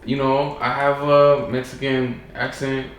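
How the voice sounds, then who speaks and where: A man's voice: mid-range voice, little pitch movement, unhurried. A man hosting a podcast, talking bluntly and casually, swearing freely and calling his guest "bro". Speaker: podcast host. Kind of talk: casual chat. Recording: roomy with faint noise.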